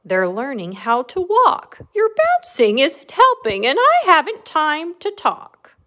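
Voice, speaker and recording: A busy storybook mother cow, voiced by a woman. She grumbles loudly and with exasperation, her bright voice swinging up and down in pitch. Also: wildly swooping pitch, takes it slowly, full character voice. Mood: grumbling. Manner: loud, exasperated complaining.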